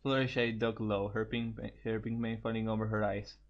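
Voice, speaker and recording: Guy reading fanfic out loud, trips over words and repeats himself, kinda dull cheap mic. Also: fairly low pitch, level tone, normal speed. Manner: stumbling reader, repeats phrases. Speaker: co-host reading fan fiction aloud. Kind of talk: informal story read-aloud.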